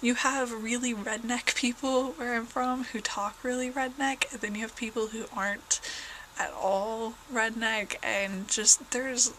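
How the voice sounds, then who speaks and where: A woman's voice: a little high, fairly even intonation, a little slow, fairly bright tone, slight background noise, low-quality recording. A young woman from southern Indiana with a southern Indiana accent, slightly congested, chatting casually and rambling as a vlogger at home. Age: young adult. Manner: rambling, many fillers and self-corrections. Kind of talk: casual chat.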